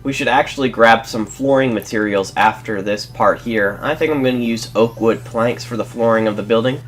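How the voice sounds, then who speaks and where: A man's voice: mid-range voice, moderate pace. A young man with a congested, stuffy voice narrating a casual building tutorial as a game host, rambling and correcting himself as he goes. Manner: rambling, self-correcting, apologetic. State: congested from a cold. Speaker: Let's Play host.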